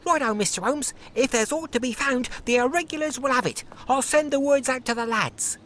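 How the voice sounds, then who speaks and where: High-pitched, cheeky newsboy played by an actor. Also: a bit bright, slight background noise. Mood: eager, cheeky. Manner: colloquial, brisk street slang. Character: cheeky, eager young Victorian street newsboy informant. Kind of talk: video game cutscene acting.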